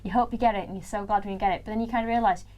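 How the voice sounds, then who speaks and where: A woman's voice: mid-range voice, steady tone, racing, a little mellow. A young Welsh woman in her early twenties, a student leader being interviewed, talking in a chatty way with a big voice and frequent laughs. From Wales. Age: young adult. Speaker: student union president-elect. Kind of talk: casual studio interview.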